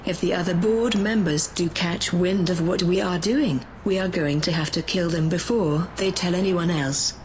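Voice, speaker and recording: Woman acting a ruthless, low-voiced female schemer, noisy background. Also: steady tone, moderate pace, few pauses, darkish tone, natural voice. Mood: calculating. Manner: bossy, menacing. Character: scheming, ruthless power-hungry woman, impatient conspirator in sci-fi. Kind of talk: sci-fi audio drama acting.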